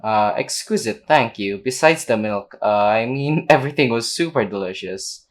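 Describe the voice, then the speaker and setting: A woman's voice: a bass voice, animated, moderate pace. A woman streaming a game with casual, slangy, teasing commentary, reading dialogue aloud. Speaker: gameplay streamer. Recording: game music behind the voice.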